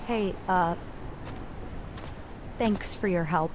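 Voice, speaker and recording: A woman's voice: lowish voice, extremely slow. A woman playing a weary, determined young female climber in a family audio drama, blunt, dry and guarded. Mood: curious. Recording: clear background noise, ambience.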